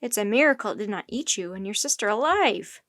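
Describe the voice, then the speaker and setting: A woman's voice: medium pitch, animated, fairly quick, a bit bright, dry recording, clean studio sound, natural voice. A woman voicing a stern, eager male scientist in a storybook, roaring and insistent.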